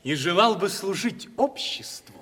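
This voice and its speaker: Eager young upstart, earnest, lively rising voice, reverberant studio. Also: male voice, sits high, measured pace, husky, heavily acted. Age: young adult. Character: young eager social climber, man. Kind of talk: 1970s studio radio play.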